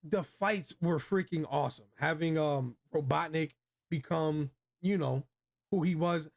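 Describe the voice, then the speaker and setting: A man with an American accent and a voice a bit above average in pitch. He hosts a relaxed film review, speaking in loose slang with plenty of enthusiasm. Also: unhurried, vocal fry. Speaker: host of a movie review show. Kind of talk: casual chat, film review.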